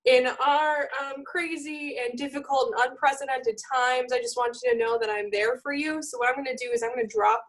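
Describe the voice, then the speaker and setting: A woman's voice: high voice, steady tone, fairly quick, hardly any pauses, a bit bright, rough recording. A woman graduate student who teaches acting, introducing herself in an upbeat way on a video call. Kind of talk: self-introduction.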